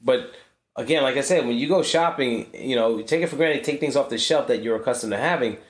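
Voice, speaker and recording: A man co-hosting a podcast, explaining household routines in a steady, practical way. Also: mid-range voice, quick pace, reverberant, slight background noise. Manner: married couple's parent perspective. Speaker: podcast co-host. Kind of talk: casual podcast talk.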